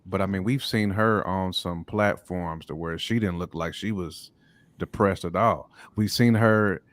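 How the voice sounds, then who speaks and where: A man's voice: low voice, a little slow, dry recording. A man with an American accent co-hosting a podcast, chatting casually and colloquially. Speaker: podcast co-host.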